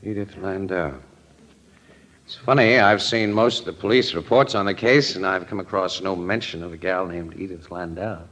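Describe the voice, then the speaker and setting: Deep-voiced, wisecracking newspaper photographer. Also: male voice, normal speed, fairly bright tone. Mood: skeptical. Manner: wisecracking, clipped slangy delivery. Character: wry, streetwise male newspaper photographer, skeptical and shrewd. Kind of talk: old-time radio crime drama acting. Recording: dull, lo-fi old radio recording.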